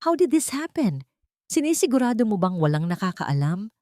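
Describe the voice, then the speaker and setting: A woman's voice: medium pitch, sing-song, normal speed, warm tone, no room sound, clean studio sound, voice pushed up, lightly acted. A woman storyteller voicing an angry, fearful husband who snaps and accuses in a narrated drama. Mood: alarmed, angry. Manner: snapping, accusing.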